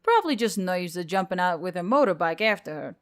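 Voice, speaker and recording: A woman voicing a brisk, confident woman with an emphatic, dismissive manner. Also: fairly low pitch, big pitch swings, clear, resonant tone, faint music behind. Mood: assured, dismissive.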